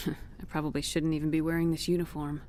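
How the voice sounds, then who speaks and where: Low-voiced woman commander played by an actress. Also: little pitch movement, a little fast, darkish tone, slight background noise, natural voice. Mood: intimate, wry. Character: resolute female military commander, frank and determined. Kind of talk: cinematic video game dialogue.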